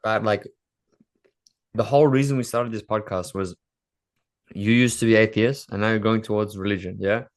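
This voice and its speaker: A man's voice: on the low side, steady tone, moderate pace, long pauses. A man co-hosting a casual podcast, asking probing questions with a teasing edge. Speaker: podcast co-host.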